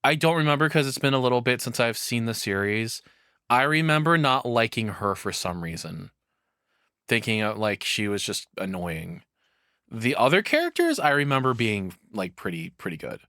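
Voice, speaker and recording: A man's voice: medium pitch, big pitch swings, normal speed, sharp tone, dry recording, clean studio sound. A man giving casual reaction commentary as a streamer, rambling along and swearing freely.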